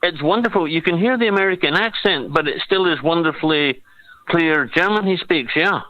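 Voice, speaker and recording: A man's voice: on the high side, normal speed, no echo, muffled recording. A man with a Scottish accent calling into a talk show, chatting casually and rambling as he talks over the host. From Scotland. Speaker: caller. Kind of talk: casual phone-in chat.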